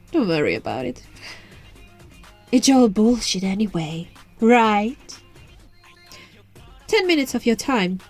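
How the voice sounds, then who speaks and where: A woman's voice: fairly high pitch, a little mellow, heavily acted. A woman voicing an alluring, playful witch in an ASMR roleplay, teasing and coaxing the listener, recorded on a phone. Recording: phone recording with music and night crickets.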